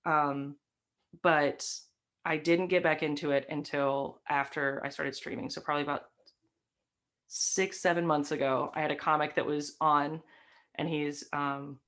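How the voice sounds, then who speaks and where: A young woman with a faint Southern American lilt talks in a low, easygoing voice. She tells long stories full of fillers and asides. The sound is from a webcam in a home room. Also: steady tone, unhurried, fairly bright tone. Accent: light Southern American. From Southern United States. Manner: chatty, storytelling, many fillers. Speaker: guest, stream host and comic. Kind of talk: casual interview chat. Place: decorated home room with framed posters.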